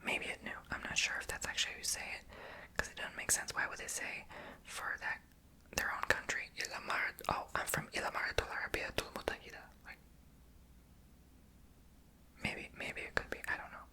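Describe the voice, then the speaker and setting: A woman's voice: normal speed, long pauses, pushed and bright. A woman whispering in an ASMR video, chatty and rambling, who says she is tongue-tied and makes her r in her throat. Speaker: ASMR creator.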